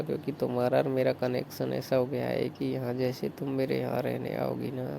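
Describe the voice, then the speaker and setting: A man acts out a resigned, flirty brother-in-law on a secret night call. His mid-pitched voice is extremely gravelly and creaky, and he talks in a low-key, conversational way. Clear noise sits behind the phone recording. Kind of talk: intimate staged phone-call acting in casual Hindi.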